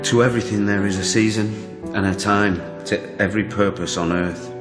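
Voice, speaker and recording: Bereaved son played by a male actor, very low voice, terse and guarded, radio drama with music behind. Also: fairly even intonation, fairly quick, close to an everyday voice. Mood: solemn. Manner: restrained, terse. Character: subdued grieving son, guarded and loyal to mother. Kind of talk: naturalistic radio drama acting.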